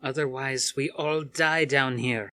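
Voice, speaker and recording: A man's voice: mid-range voice, steady tone, unhurried, bright, forceful tone, no echo, voice pushed up. A man voicing a determined, defiant woman heroine with an insistent, emphatic delivery. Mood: firm.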